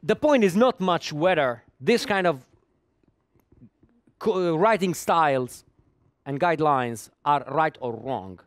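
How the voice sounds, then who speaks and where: A man's voice: a little high, animated, slow, pauses often, no echo, clean studio sound. A middle-aged man gives a technical conference talk, often repeating words while he searches for the next one. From Italy. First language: Italian. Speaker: conference speaker.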